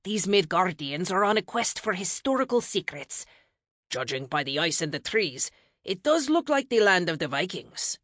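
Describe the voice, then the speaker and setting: A woman voicing an eager, earnest and sassy young male godson of a goddess in a fantasy audiobook, excitable and bookish. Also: on the low side, moderate pace, no echo, studio quality. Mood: excited.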